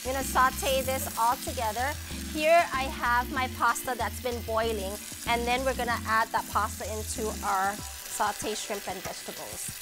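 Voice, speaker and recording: A woman's voice: on the high side, fairly even intonation, moderate pace. Upbeat woman hosting a cooking show. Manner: upbeat, chatty, encouraging. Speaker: cooking show host and restaurant owner. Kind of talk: cooking demonstration. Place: home kitchen, indoors. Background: background music and sizzling pan.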